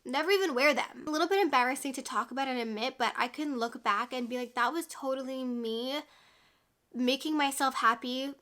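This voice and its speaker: Bright-voiced young woman vlogger. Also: sits high, a little fast. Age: 20s. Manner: chatty and candid, many "like" fillers. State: slightly congested from a cold. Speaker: YouTube vlogger. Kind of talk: casual sit-down chat to camera.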